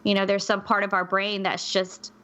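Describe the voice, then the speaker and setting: A woman's voice: mid-range voice, little pitch movement, moderate pace, pushed and bright. A woman co-hosting a podcast in casual chat, skeptical and thinking aloud with frequent restarts. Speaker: podcast co-host.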